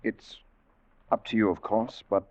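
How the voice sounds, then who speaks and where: An actor playing a haunted, grieving but resolute sea captain in an old-time radio drama, grave and halting. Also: male voice, on the low side, fairly even intonation, darkish tone, natural voice. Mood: subdued, hopeful. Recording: muffled vintage radio recording.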